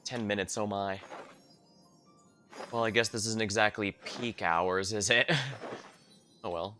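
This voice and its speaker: A man's voice: fairly low pitch, fairly even intonation, a little slow, over music. A man giving casual gameplay commentary as a streamer, explaining things in a hesitant, trailing way. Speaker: game streamer. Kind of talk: casual gameplay commentary, reads quest dialogue aloud.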